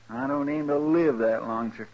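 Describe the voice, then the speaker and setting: A folksy, grumbling sidekick in a radio western, played by a male actor. He rambles in an at a middling pitch. The old recording is dull and boxy. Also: a little slow, lightly acted.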